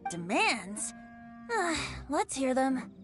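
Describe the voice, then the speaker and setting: A woman's voice: high-pitched, big pitch swings, drawn-out words, over music, full character voice. A woman voicing a boyish male bard in a game, playful and crafty, with a theatrical, mock-formal teasing manner. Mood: playful, sly. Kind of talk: animated game voice acting.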